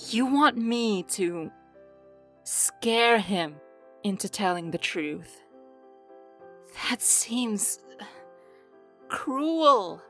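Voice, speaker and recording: A woman's voice: mid-range voice, lively intonation, drawn-out words, long pauses, fairly bright tone, soft background music. A woman playing a kind-hearted, wary young mortal in a fantasy audio drama, speaking hesitantly. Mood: doubtful.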